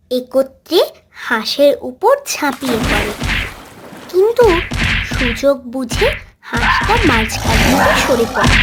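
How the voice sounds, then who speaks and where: High, animated, unhurried story narrator. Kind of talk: scripted children's story narration.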